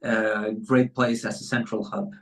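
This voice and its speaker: A man's voice: on the low side, level tone, a little slow, a bit bright, distant mic. A man with a Spanish accent, a concert pianist, answering interview questions hesitantly with many fillers.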